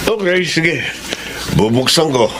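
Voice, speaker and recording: A man's voice: fairly high pitch, expressive, slow. An actor playing an affectionate, easily delighted middle-aged father in a radio drama. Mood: pleased, excited. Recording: noisy radio drama recording.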